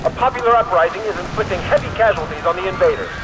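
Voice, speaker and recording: A man's voice: high-pitched, lively intonation, very fast, noisy background. An actor playing a solemn, declamatory male propaganda broadcaster in a besieged city. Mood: defiant, rousing.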